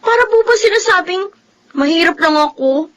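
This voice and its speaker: An actress playing a humble, wistful young rice-cake seller in a Filipino audio drama, polite and plaintive, with a Filipino accent. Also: female voice, very high voice, animated, moderate pace, a bit bright, poor audio. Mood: hurt, wistful.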